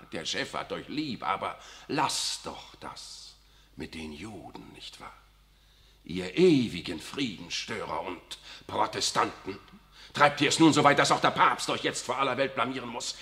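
A man's voice: deep voice, pushed and bright, exaggerated voice. An actor playing a worldly older cardinal in a 1960s German radio drama, unctuous and evasive, forever tagging "isn't it" onto his sentences. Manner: unctuous, keeps asking "nicht wahr".

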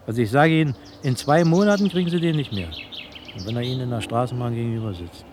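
Actor as sardonic informant amid faint birdsong. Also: male voice, mid-range voice, quick pace, darkish tone, lightly acted. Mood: flippant, cynical. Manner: colloquial, sardonic. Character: cynical, chatty insider selling police gossip. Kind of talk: radio drama acting. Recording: faint outdoor ambience with birdsong. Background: birdsong, ambient noise.

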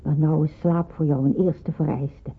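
An actress playing a kindly but firm matron of a wartime safe house, speaking in a measured, instructive way in a radio drama. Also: female voice, low-pitched, fairly even intonation, slightly rough, full character voice. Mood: reassuring. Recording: muffled, narrow-band old radio recording.

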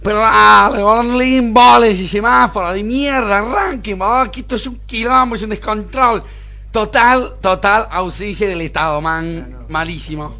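A man acting a whiny, high-voiced rich brat. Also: moderate pace, vocal fry, sharp tone, full character voice. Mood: irritated. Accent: Argentine, Buenos Aires. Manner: whiny, affected upper-class drawl, dismissive. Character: spoiled rich young male boss, snobbish, impatient. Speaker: radio play actor. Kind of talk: amateur radio drama acting.

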